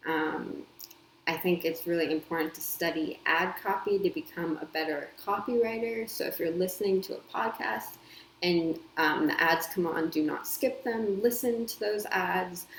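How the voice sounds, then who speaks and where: Woman, thirties, low pitch, echoey room; casual vlog chat, relaxed, filler-heavy. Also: normal speed, a little hiss or hum. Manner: chatty, frequent ums and small laughs. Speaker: copywriter and editor. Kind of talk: casual talk to camera. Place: home. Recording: echoey room with faint ambience.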